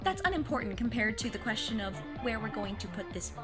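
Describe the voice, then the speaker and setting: A woman playing a plucky, earnest fifteen-year-old witch's apprentice in an audio drama, with a husky voice and formal, precise wording. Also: fairly high pitch, very animated, brisk, over music. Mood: matter-of-fact. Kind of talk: scripted audio drama acting.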